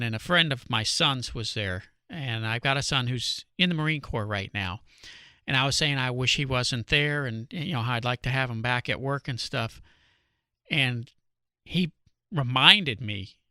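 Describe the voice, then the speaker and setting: A middle-aged male host speaks casually and from the heart, in a plainspoken, emphatic way. His voice is strikingly bright and forceful, with a creaky edge. The sound is dry and close. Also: medium pitch, no echo. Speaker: radio show host. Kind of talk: casual, heartfelt talk to listeners.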